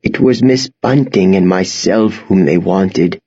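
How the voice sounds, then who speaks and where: A frail, genteel old woman from an audiobook, fearful and full of grief, played by a male narrator. The speech is slow, formal and halting. The recording is a little muffled. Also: deep voice, dark tone, husky. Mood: grave. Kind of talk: audiobook character acting.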